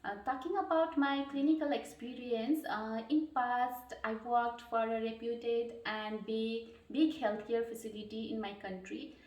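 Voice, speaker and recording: A woman from Nepal answers interview questions with earnest care, often pausing on a filler or restarting a phrase. Her voice sits a little high. She sounds set back from the mic, in a room with a noticeable echo. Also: fairly even intonation, a little mellow. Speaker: job candidate. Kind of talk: mock job interview answers. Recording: echoey room, distant mic.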